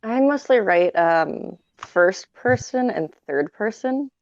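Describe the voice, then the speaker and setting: A young woman with a low, gravelly voice full of vocal fry speaks hesitantly and keeps correcting herself. Her tone is dark and mellow, and she comes through a dull, lo-fi mic. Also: animated, takes it slowly, dry recording. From Canada. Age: young adult. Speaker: podcast guest writer. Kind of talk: casual chat.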